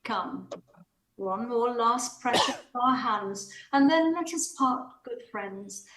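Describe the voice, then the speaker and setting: A woman playing a restrained, elegant married lady, wistful yet resolute, in a measured amateur play reading. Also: a little high, a bit bright, roomy sound, low-quality recording. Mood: frank, tender.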